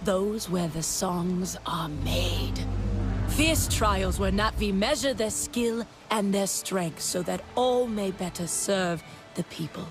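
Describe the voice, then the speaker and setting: A woman playing a serene, solemn alien clan guide in a video game, measured and ceremonious in speech. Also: mid-range voice, over music. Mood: solemn, welcoming. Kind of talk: video game dialogue acting.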